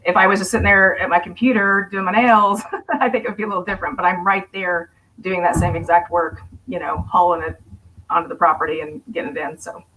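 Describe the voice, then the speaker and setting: A woman's voice: on the low side, steady tone, quick pace, sharp tone, poor audio. A woman who runs a landscaping business, speaking frankly on a video call panel with some fillers. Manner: frank, plain-spoken, with fillers. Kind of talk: casual panel discussion.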